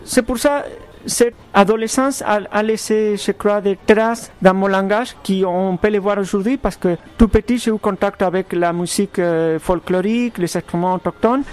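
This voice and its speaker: A man from Argentina with a fairly high voice speaks as a composer in an interview. He picks his words slowly, with false starts and repeated words. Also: a little mellow. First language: Spanish. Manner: thoughtful, halting, many restarts. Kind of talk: radio interview.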